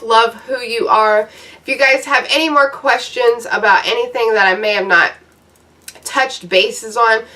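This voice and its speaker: A woman's voice: fairly high pitch, pushed and bright, distant mic. A woman in her thirties giving casual, blunt, profane advice to her viewers in a loose, rambling vlog rant. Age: 30s. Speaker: YouTuber.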